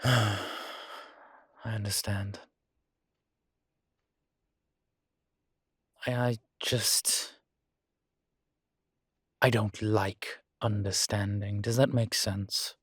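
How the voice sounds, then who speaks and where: A man playing a guilt-ridden, gentle and wry ancient vampire in an intimate audio roleplay, speaking in a halting, reflective way. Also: lowish voice, fairly even intonation, breathy edge, no echo, clean studio sound, heavily acted. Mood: guilty, resigned. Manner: halting, reflective, with sighs and soft chuckles. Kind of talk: intimate one-sided audio roleplay acting.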